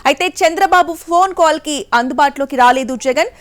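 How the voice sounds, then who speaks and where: A woman's voice: high voice, fairly quick. A woman news anchor. She delivers breaking news in a scripted bulletin style.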